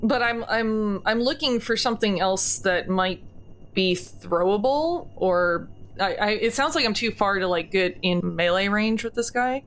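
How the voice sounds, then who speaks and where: A woman with a mid-range voice plays a tabletop game. She speaks casually and a bit tentatively, thinking through her options out loud. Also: medium pitch, unhurried.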